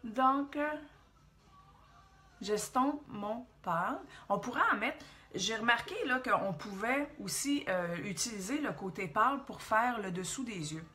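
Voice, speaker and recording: Québécois woman, 40s, bright tone, chatty rambling makeup tutorial host. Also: fairly high pitch, expressive, a little slow. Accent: Quebec French. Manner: chatty, rambling, thinks aloud.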